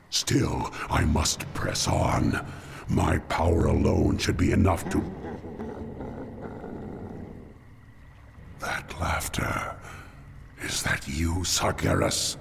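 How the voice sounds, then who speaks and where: An actor playing a ruthless, power-hungry orc warlock in a video game, with a gravelly voice and contemptuous, grandiose delivery. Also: male voice, very deep voice, background noise, full character voice. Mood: bitter, defiant. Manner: contemptuous, grandiose villainous declaiming. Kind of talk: dramatic video game voice acting.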